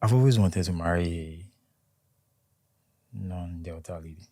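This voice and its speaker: Young Nigerian man, Nigerian accent, low and warm, calm measured guest. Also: dry recording, studio quality. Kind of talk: conversational interview.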